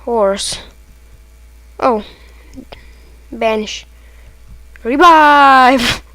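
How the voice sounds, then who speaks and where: A Lithuanian-accented woman talks through a video game at an unhurried, slow pace. Her voice sits a little high, and she breaks into excited reactions as she plays. The recording is dry and close, with no room sound. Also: no room sound. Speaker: let's play gamer. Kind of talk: casual gameplay commentary.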